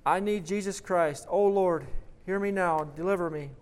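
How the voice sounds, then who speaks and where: A man voicing a desperate condemned man who sobs and begs for mercy, his voice raised high and loud. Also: normal speed, lightly acted. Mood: desperate pleading. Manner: frantic begging, sobbing.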